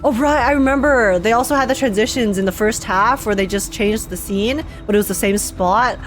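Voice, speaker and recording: A young woman streaming a game with casual, excitable, slangy live commentary. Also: a little high, brisk. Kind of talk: casual live reaction commentary over gameplay. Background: faint game music.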